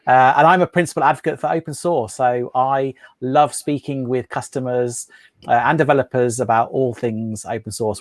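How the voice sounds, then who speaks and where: A man from Galicia in Spain, a guest on a video call chat, speaking in a measured, friendly way. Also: mid-range voice, no echo, clean studio sound. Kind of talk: casual interview chat.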